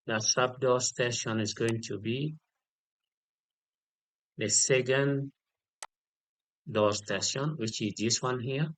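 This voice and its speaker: Slow-paced man giving step-by-step instructions. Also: mid-range voice, fairly bright tone, poor audio. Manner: instructional, repeats key details and checks with "okay". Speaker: tutorial instructor. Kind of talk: step-by-step software tutorial, unscripted.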